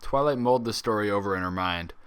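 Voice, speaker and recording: A man's voice: on the low side, fast talker, fairly bright tone, smooth. A man reading fan fiction aloud as scripted story narration.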